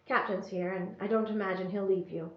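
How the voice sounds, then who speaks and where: A woman's voice: mid-range voice, fairly even intonation, brisk, natural voice. Woman as an earnest, dryly wry young girl. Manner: dry, confident. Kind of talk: storybook character reading. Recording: roomy, slightly dull sound.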